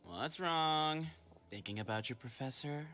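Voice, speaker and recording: A man's voice: on the high side, expressive, normal speed, sharp tone, faint music behind. A man voicing a cocky, carefree young desert guide in an anime-style game, teasing and nonchalant in his delivery. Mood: sassy.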